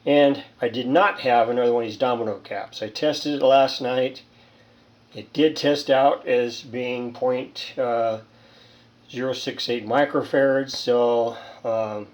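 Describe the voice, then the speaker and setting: A man with a middle-pitched voice talks casually through a hands-on repair. He rambles and halts, thinking aloud as he shows his work. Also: a little mellow, highs cut off. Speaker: hobbyist radio repairer, presenter. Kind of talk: casual hands-on demonstration talk.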